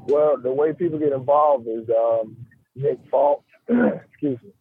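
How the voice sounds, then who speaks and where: A man giving a casual radio interview as a guest, talking haltingly and peppering his speech with "you know" and "man". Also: mid-range voice, big pitch swings, gravelly, husky, no room sound. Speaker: guest, rapper and music business man. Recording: tinny phone line.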